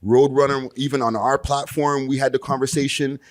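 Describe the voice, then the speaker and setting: A man talking casually in a panel discussion, conversational and slangy, quick to laugh. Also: medium pitch, level tone, no room sound, studio quality. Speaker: podcast panelist.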